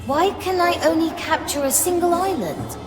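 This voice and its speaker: An actress playing the youthful, questioning spirit of a living ship in a video game, earnest and plaintive. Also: female voice, very high voice, moderate pace, music in the background. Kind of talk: video game dramatic acting.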